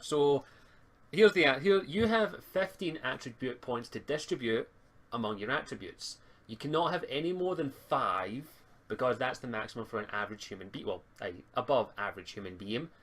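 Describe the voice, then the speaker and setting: A man's voice: medium pitch, animated, normal speed, a bit bright. A man acting as game master, explaining roleplaying rules to players on an online voice call. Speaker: game master and stream host. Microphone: voice call on a live stream.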